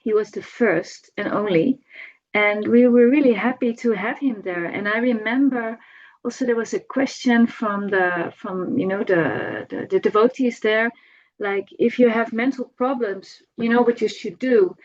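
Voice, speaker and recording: A middle-aged woman giving an informal spoken remembrance, halting as she searches for words and repeats herself. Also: a little high, lo-fi sound. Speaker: devotee giving a personal homage.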